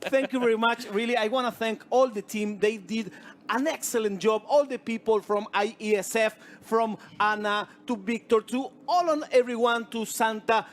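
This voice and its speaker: A man's voice: sits high, moderate pace, slight background noise. A man from Argentina hosting a live esports stream, friendly and laughing, thanking viewers and staff. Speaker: host.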